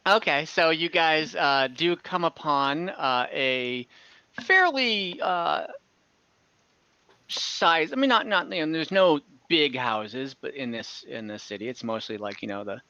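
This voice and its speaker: A man acting as game master, casually narrating a scene to friends and feeling for his words in a halting way, over an online voice chat. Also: a little high, animated, pushed and bright, dry recording, dull audio. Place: online group voice call.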